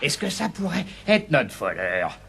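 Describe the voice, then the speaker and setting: An actor playing an irascible, theatrical police chief who blusters in a French cartoon dub. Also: male voice, a little high, sing-song, unhurried, vocal fry, heavy background noise, exaggerated voice. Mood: inquisitive, pompous. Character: irascible police chief questioning and scolding his men.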